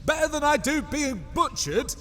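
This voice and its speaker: A man's voice: very high voice, animated, normal speed, fairly bright tone, faint music behind. A man voicing a timid, cowering, traumatized god in hiding, fearful and hesitant, in a dramatic comic book reading. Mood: terrified.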